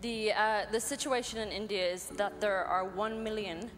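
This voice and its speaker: A woman in her thirties from Ireland tells her story on stage in short, earnest, measured phrases, pausing after each one. A long hall reverb trails her voice, and clear music plays beneath. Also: mid-range voice, fairly even intonation. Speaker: missionary guest. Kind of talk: testimony on stage. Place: large hall. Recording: echoey hall with music behind.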